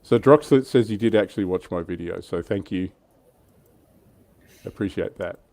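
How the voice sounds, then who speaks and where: A man's voice: deep voice, normal speed, a little mellow, no room sound. A middle-aged man, hosting a casual livestream chat about tabletop wargaming. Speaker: livestream host.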